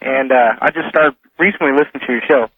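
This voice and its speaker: An American man calling a radio show, asking questions casually in a halting, tentative, roundabout way. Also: mid-range voice, creaky, slightly rough, no room sound. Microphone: telephone. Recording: dull telephone line on radio.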